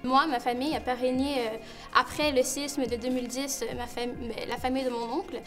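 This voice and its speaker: A young woman from Canada, a student, answering in an interview in a hesitant, halting way. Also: high-pitched, fairly even intonation, faint music behind. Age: young adult. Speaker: student panelist.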